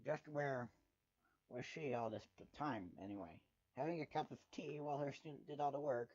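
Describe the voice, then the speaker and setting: A man's voice: mid-range voice, moderate pace, a little mellow, no echo. Male fan fiction narrator, sardonic and exasperated, dry close sound, slightly lo-fi. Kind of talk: dramatic first-person story narration.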